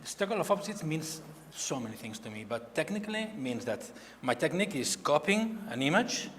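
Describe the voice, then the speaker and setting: A man from Barcelona speaking English with a Spanish accent, a painter giving a halting gallery talk who repeats words as he searches for them. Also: fairly high pitch, big pitch swings, a bit bright, a little hiss or hum. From Spain. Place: gallery hall. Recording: long hall reverb, faint ambience.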